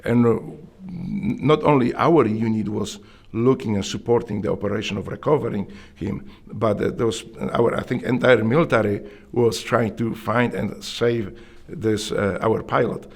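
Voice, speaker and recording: A middle-aged man with a Polish accent, earnestly telling his story in an interview, often halting and restarting his sentences. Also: on the low side, vocal fry. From Poland. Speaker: interviewee, Navy SEAL veteran.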